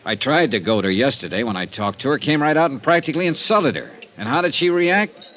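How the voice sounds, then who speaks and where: A hard-boiled insurance detective from vintage radio drama, played by a man. He has a medium-pitched voice that swings freely up and down. His delivery is shrewd and wry, reasoning through things with dry skepticism. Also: lively intonation, fairly quick, fairly bright tone, natural voice. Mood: persuasive, intent. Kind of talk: old-time radio drama acting.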